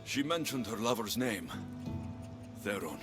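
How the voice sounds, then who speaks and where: An actor playing a capable, plain-spoken mercenary hero in a video game, with a wry manner. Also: male voice, on the low side, animated, unhurried. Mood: wry, questioning. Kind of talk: dramatic video game acting. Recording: music behind the voice.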